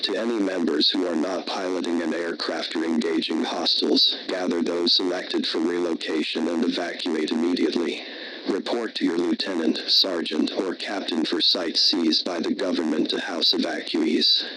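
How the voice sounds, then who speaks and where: A man's voice: deep voice, little pitch movement, moderate pace, sharp tone, slight background noise, rough recording. A man playing a commanding military base announcer, giving formal, authoritative emergency orders from a script. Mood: urgent, commanding.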